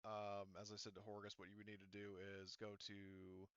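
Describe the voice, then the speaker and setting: Bright, clear game master, a man. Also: on the low side, completely flat delivery, no echo, dull audio. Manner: halting, casual, profane. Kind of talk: improvised narration and rules talk in an online tabletop roleplaying game.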